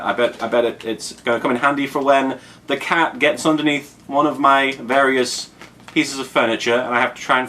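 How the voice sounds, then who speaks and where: A man's voice: mid-range voice, a bit bright, a little hiss or hum. A man hosting an unboxing in a casual, chatty style, enthusiastic and rambling with jokey asides. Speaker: unboxing show host. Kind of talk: casual chat, product unboxing commentary.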